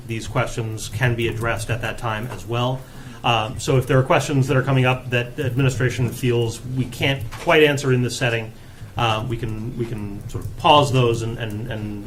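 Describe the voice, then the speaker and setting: Brief: male meeting chair, hesitant, filler-heavy, faint room noise. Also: mid-range voice. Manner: halting, many fillers and restarts. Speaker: committee chair.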